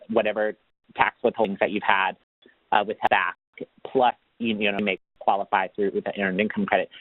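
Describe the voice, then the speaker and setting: Low-voiced man chatting over a phone line. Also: dry recording. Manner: conversational, loosely rambling. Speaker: tax assistance program manager. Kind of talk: casual explanatory Q&A answer. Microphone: phone or web conference call. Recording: dry, narrow phone-line sound.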